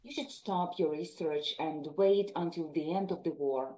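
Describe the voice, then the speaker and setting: A woman's voice: fairly low pitch, measured pace, mellow, low-quality recording. A woman from Ukraine explaining her research as an academic guest in an interview, speaking spontaneously.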